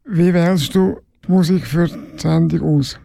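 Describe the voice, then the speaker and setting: A man with a fairly high, gravelly voice that stays dark in tone. He puts short, plainly worded questions as an interviewer. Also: steady tone, measured pace, no echo. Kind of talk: simple prepared interview questions.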